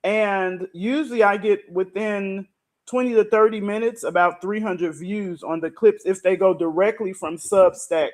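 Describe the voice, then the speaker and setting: A man's voice: sits high, a little slow. A man explaining things casually as a podcasting guest.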